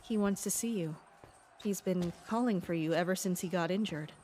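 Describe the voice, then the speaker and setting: A woman playing a composed, perceptive castle guard captain in an audio drama, calm, formal and matter-of-fact. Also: fairly low pitch, normal speed, faint music behind, natural voice. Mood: composed, polite.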